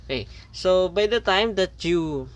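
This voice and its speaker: A man whose first language is Filipino, talking through an unscripted product review. His voice sits a little high for a man, and he hunts for words with many fillers and restarts. He punctuates each section with a quick "okay". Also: expressive. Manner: repeats "okay" between points.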